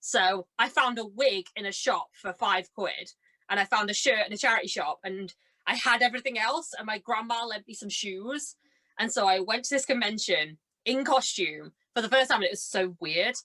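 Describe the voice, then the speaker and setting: A woman in her twenties chats casually as a podcast guest. Her voice is bright and forward, at an average pitch for a woman. She tells anecdotes in a bubbly, enthusiastic way and acts out bits of dialogue as she goes. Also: normal speed. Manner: bubbly, giggly, enthusiastic storytelling with acted-out quotes. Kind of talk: casual chat, animated anecdotes.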